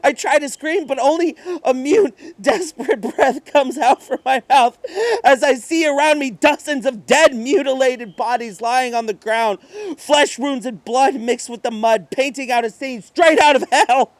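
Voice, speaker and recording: A man acting a high-voiced, terrified, unraveling madman. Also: dry recording. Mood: manic anguish. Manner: breathy, halting, theatrical, swinging from hush to outburst. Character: terrified, exhausted madman narrator hunted by demons. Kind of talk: dramatic horror monologue reading.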